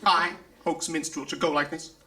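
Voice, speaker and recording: An actor playing a vain, foppish romantic-lead first officer in a live sci-fi radio comedy, pompous and theatrical. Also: male voice, on the high side, level tone, moderate pace, sharp tone. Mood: self-pitying, grandiose.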